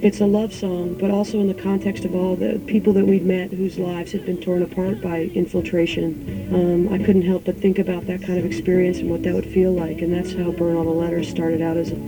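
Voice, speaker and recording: A woman musician answering interview questions in a thoughtful, reflective way, sometimes pausing to search for words. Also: lowish voice, flat delivery, over music, poor audio.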